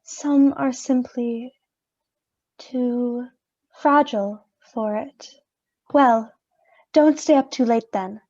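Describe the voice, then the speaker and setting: A woman's voice: on the high side, fairly even intonation, slow, long pauses, a little mellow. A woman playing a falsely sympathetic mourner in a radio play, offering pointed condolences, on a dull stage recording. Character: insinuating, falsely sympathetic female mourner named Helen.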